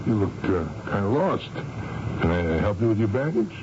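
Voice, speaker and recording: An actor playing a cool, sardonic blackmailer and killer in a 1970s radio drama, with streetwise, falsely affable menace. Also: male voice, fairly low pitch, sing-song, normal speed. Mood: falsely friendly. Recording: noisy, dull vintage radio broadcast.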